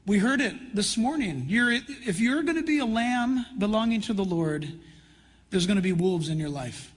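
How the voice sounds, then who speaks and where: Casting: American man as a conference pastor, raspy, earnest and conversational, speaking in a long-reverb church hall. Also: sits high, lively intonation, moderate pace. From California, United States. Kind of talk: conference address in sermon style. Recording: reverberant large hall, live PA sound.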